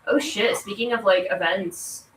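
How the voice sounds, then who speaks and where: A woman's voice: medium pitch, a little slow, a little hiss or hum. Congested woman chatting casually, echoey and distant. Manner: rambling, informal, filler-heavy "like". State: congested from allergies. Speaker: game streamer. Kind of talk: casual chat while gaming. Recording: echoey room, distant mic, loud music. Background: loud video game music.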